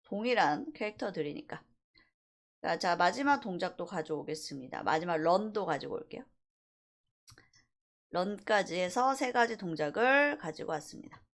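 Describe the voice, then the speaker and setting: A woman instructor giving a casual, explanatory screen-recorded software tutorial, slipping between polite and plain speech. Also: fairly low pitch, lively intonation, moderate pace, vocal fry. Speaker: animation instructor.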